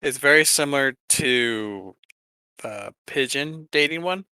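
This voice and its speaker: A man's voice: medium pitch, expressive, a little slow, bright, forceful tone, smooth, dry recording. A man co-hosting a podcast, presenting a countdown list in casual chat with an informal, hesitant delivery. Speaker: podcast co-host.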